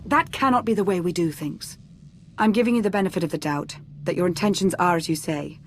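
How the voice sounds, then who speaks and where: An actress playing a composed, principled adviser in a video game, speaking in a measured, firm and earnest way. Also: female voice, on the low side, slight background noise, natural voice. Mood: admonishing. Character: composed, principled, pragmatic female commander's adviser. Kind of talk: dramatic video game acting.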